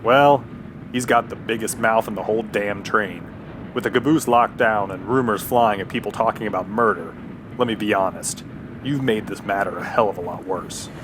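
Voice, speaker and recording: An actor playing a stern, blunt train conductor in an Old West audio drama, gruff, authoritative and folksy. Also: male voice, normal speed, natural voice. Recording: clear vehicle-like background rumble.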